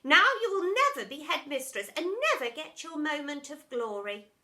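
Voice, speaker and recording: Woman playing a shrill, jeering, defiant schoolteacher. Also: sing-song, pushed and bright, full character voice. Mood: mocking. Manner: jeering, drawn-out exaggerated delivery. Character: mocking, defiant female schoolteacher.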